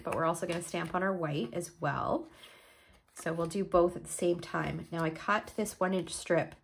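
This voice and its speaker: A woman's voice: lowish voice, slight background noise. A woman from Canada explaining a card-making project step by step while she works, a little stuffed up from a cold. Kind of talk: crafting tutorial.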